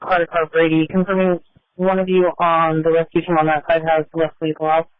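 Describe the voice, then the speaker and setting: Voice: medium pitch, completely flat delivery, fairly quick, clean tone, no room sound, phone-quality sound. A police officer on scene giving terse tactical updates over a scanner radio in a businesslike manner with clipped call signs. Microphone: two-way radio.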